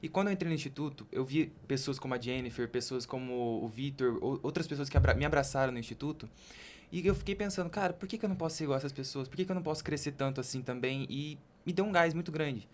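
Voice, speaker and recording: A man's voice: mid-range voice, quick pace, slight background noise. A young Brazilian man chatting casually and candidly as a podcast co-host, in colloquial speech. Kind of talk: casual personal conversation.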